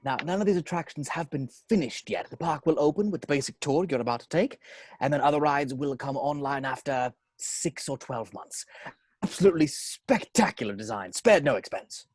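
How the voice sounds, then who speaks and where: A man playing a jovial, boastful older theme park owner in a script table read, with theatrical, halting delivery. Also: on the high side, animated, a little mellow, dry recording. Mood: proud.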